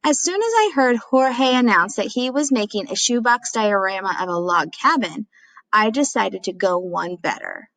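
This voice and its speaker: A woman's voice: mid-range voice, animated, moderate pace, a bit bright, dry recording, rough recording. A woman voicing a chatty, self-mocking dad who tells a childhood story dramatically in a storybook read-aloud. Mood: eager, boastful.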